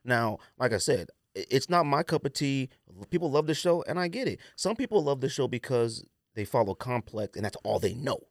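A man's voice: medium pitch, big pitch swings, a little fast, dry recording, clean studio sound. A man co-hosting a podcast, talking casually and giving his opinions in an emphatic, slangy way, often repeating himself for stress. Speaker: podcast co-host.

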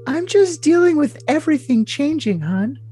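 A man's voice: extremely high pitch, very animated, moderate pace, mellow, soft background music. A man playing a clingy, anxious, lovestruck young woman in an overly dramatic comic reading of texts, with a sugary, melodramatic delivery. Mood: anxious, pleading. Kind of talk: overly dramatic comedic reading of text messages.

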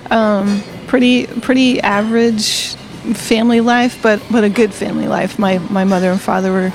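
A woman's voice: mid-range voice, fairly even intonation, moderate pace, a little hiss or hum, rough recording. Cheerful woman in her sixties, laughing easily. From Delaware. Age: 60s. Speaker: guest, retired teacher. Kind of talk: personal testimony in conversation. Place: coffee shop.

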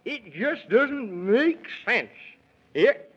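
High-voiced flustered Man in the Moon. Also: very animated, drawn-out words, breathy edge, full character voice. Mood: huffy. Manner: blustering, exclaims in quaint mild oaths. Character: fussy, excitable, easily flustered Man in the Moon. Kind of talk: 1930s children's radio serial acting. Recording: dull 1930s radio recording, music behind.

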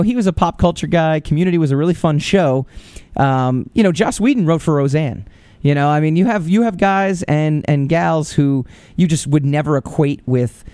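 A man's voice: fairly high pitch, a little mellow, no room sound. A man hosting a podcast, giving casual, opinionated commentary with lots of fillers and restarts. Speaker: podcast host.

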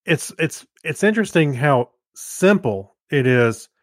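A man's voice: on the high side, expressive, a little slow, no room sound, clean studio sound. A man co-hosting a podcast, chatting casually and explaining things in an amused, conversational way. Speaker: podcast co-host. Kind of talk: casual chat.

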